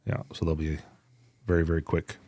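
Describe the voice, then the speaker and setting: A man with a low-pitched voice speaks on a dry, close recording. He co-hosts a podcast and runs through product news in an informal, conversational style, as if talking straight to regular listeners. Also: monotone, brisk, dark tone, dry recording. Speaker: podcast co-host. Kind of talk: casual podcast product update.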